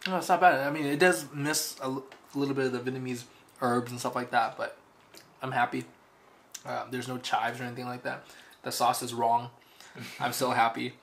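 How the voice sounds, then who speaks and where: A man's voice: medium pitch, fairly even intonation, moderate pace, a bit bright, slight background noise. A man narrating his travel vlog and chatting casually, curious and easygoing. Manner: curious, easygoing, laughs often. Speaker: travel vlogger.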